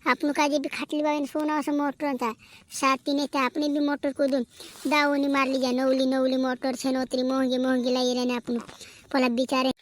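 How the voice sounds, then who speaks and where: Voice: high voice, little pitch movement, moderate pace, lightly acted. A performer voicing a chatty, fretful village cartoon character with a rural western Madhya Pradesh accent, repeating phrases in comedy dialogue. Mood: excited chatter. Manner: repetitive, chattering.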